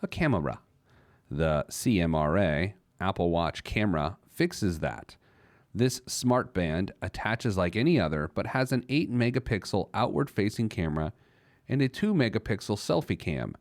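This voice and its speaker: A man's voice: deep voice, dry recording, clean studio sound. A man hosting a podcast in a comedic monologue, with a wry, jokey, mock-exasperated manner. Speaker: podcast host.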